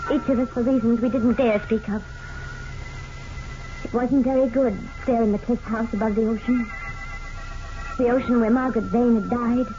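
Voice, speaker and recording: An actress playing an anxious, secretive, jealous wife in a 1940s radio mystery, speaking haltingly. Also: female voice, a little high, close to an everyday voice. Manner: breathy hesitations, halting repeated words. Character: anxious, secretive, jealous wife narrating her guilty past. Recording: noisy, dull old radio recording.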